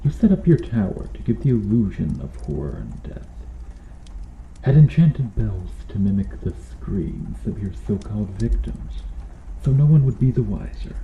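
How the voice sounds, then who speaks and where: A man playing a stern medieval knight in an ASMR roleplay, questioning formally and earnestly with dramatic pauses. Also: lowish voice, big pitch swings, gravelly, reverberant, phone-quality sound, a little hiss or hum. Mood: grave, accusing. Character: stern medieval knight interrogating an accused noble witch.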